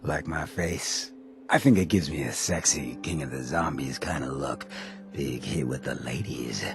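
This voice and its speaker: An actor playing a swaggering, roguish ghoul town boss in a video game, cocky and folksy with veiled menace, in a gravelly voice. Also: male voice, extremely low pitch, unhurried, soft background music, full character voice. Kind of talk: video game character acting.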